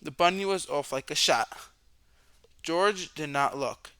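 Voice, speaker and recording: A man reading a children's storybook aloud as a storyteller, with expressive narration, dramatic questions and drawn-out words for young listeners. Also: fairly high pitch, clear, resonant tone, dry recording.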